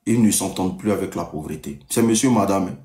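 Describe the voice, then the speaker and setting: Low-voiced man storytelling in an echoey room. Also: darkish tone. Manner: deliberate, repeats sentences, checks listeners understand. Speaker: live-stream storyteller. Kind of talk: oral storytelling on a live stream. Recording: echoey live-stream room sound.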